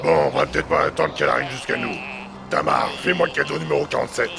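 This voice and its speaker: An actor playing a gruff, foul-mouthed Santa Claus who bosses his helpers bluntly in a comic audio drama. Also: mid-range voice, very animated, fast talker. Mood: sardonic, commanding. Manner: sardonic, bossy, blunt. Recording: music behind the voice. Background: music.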